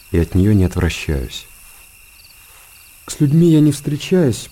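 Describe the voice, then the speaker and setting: Radio drama: secluded hermit monk, male, low and mellow, reflective monologue over chirping night ambience. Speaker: narrator in a radio play.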